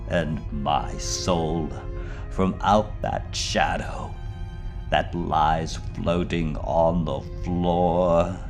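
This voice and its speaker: A man giving a dramatic gothic poetry recitation, theatrical and deliberate, drawing out his phrases. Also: deep voice. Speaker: poetry reader.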